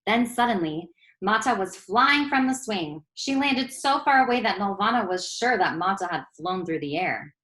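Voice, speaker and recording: Female children's storyteller: mid-range pitch, expressive read-aloud delivery, clear phrasing. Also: moderate pace, hardly any pauses.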